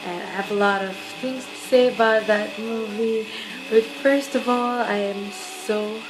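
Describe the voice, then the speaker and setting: A woman's voice: medium pitch, steady tone, unhurried, music in the background, low-quality recording. A young woman from the Philippines vlogging to her phone camera late at night, sleepy and rambling with lots of fillers. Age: young adult. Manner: rambling, hesitant with many fillers. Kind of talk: casual webcam vlog.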